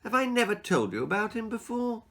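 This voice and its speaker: A man's voice: high voice, sing-song, fairly quick, gravelly, bright, forceful tone, pitched above the performer's own voice, heavily acted. Man as a stern, knowing storybook mother. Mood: warning.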